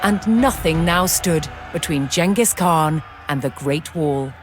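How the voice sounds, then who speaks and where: A woman giving a measured, formal, scripted historical narration in a documentary style. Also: sits low, animated. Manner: measured, formal storytelling delivery. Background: music.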